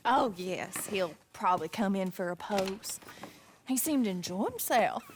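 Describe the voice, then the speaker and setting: A woman's voice: medium pitch, expressive, unhurried, slight background noise, natural voice. An American woman playing a plain-spoken frontier sweetheart in a video game, wry and teasing. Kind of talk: video game acting, casual banter.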